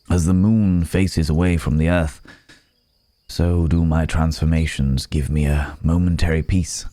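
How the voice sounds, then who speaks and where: Man voicing a very deep, brooding werewolf recluse. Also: warm tone, no echo. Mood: weary, pensive. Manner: hesitant, pensive, old-fashioned formal phrasing. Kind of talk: ASMR roleplay monologue.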